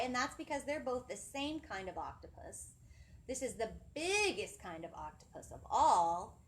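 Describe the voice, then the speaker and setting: A woman in her thirties presenting a children's storytime, talking in an animated, singsong way and putting questions to young listeners, recorded indoors on a camera mic at some distance. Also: fairly high pitch. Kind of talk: children's storytime teaching, addressing young viewers. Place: indoor library children's area, seated.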